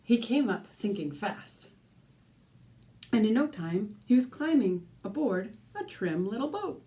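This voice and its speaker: A woman's voice: mid-range voice, a little slow, a little hiss or hum. A woman teacher reading a picture book aloud expressively, speaking as if to young listeners. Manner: animated, with asides and questions to young listeners. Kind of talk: expressive children's storybook read-aloud.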